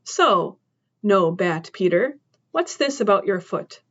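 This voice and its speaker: A woman's voice: mid-range voice, big pitch swings, slow pace, dull audio. A woman voicing a tough, wary middle-aged farm woman with a faint sing-song accent, who questions sharply. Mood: interrogating.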